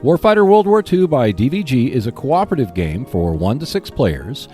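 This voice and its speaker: A man hosting a hobby podcast, reading scripted announcements in a measured, clearly enunciated way. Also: mid-range voice, big pitch swings, a little mellow, faint music behind. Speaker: podcast host.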